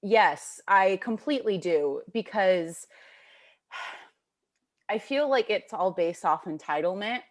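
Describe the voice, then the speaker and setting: A woman of twenty-two speaks firmly and with conviction in an interview. Her voice is mid-pitched and her delivery is casual. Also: animated, takes it slowly, long pauses. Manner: emphatic, opinionated. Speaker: grocery store worker.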